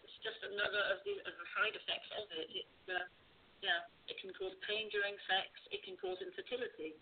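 A woman guest answers questions about her charity work in an earnest, explanatory way. Her pitch moves a lot. The sound is thin and phone-like, with a faint hiss behind it. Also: sing-song, brisk. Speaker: guest, charity communications manager. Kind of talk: interview answers over a call.

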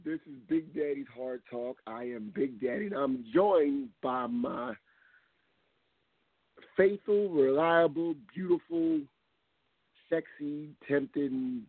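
A hoarse man hosting a radio talk show, talking casually and rambling with many ums. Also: mid-range voice, unhurried, long pauses, no echo. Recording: tinny phone line.

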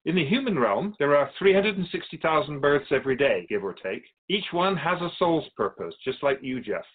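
A thin, phone-like radio drama recording carries an otherworldly male keeper of souls, acted by a man. His voice sits a little high and moves in lively swoops. He is courteous and patient, explaining in measured, formal phrases. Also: breathy edge. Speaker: guest on a radio show. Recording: thin phone-like band-limited audio.

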